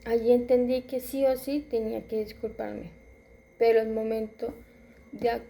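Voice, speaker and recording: A woman in her twenties reads her own story aloud, stumbling and restarting words now and then. Her voice is fairly high yet very dark in color, with a gravelly rasp at the edges. The delivery stays flat and even, with little rise and fall. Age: 20s. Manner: halting reading with restarts and self-corrections. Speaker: student. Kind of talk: reading a personal narrative aloud.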